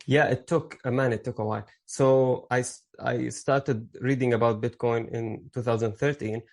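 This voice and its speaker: A man's voice: medium pitch, steady tone, normal speed, creaky, darkish tone. A man in his thirties from Syria, a podcast guest telling his story in a rambling way, with fillers and restarts. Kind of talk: casual interview storytelling.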